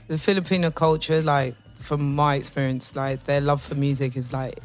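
A man's voice: a little high, level tone, moderate pace, soft background music. A male radio host interviewing a guest, enthusiastic and playful with lots of "like" fillers.

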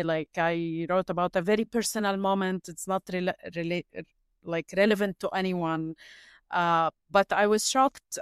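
Reflective Palestinian woman, halting speech. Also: on the low side, clean tone, dry recording, clean studio sound. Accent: Palestinian, with an Egyptian tinge. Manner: reflective, halting, many fillers. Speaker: guest, writer. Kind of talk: conversational interview.

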